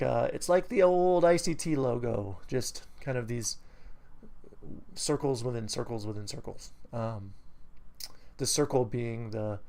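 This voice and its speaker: A man with a New England accent and a gravelly edge, walking through a chart review in a loose, unscripted way. He trails off into fillers, corrects his own numbers, and perks up when a setup excites him. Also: medium pitch. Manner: rambling, many fillers and self-corrections; enthusiastic bursts. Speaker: trading educator. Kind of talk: screen-recorded trade review.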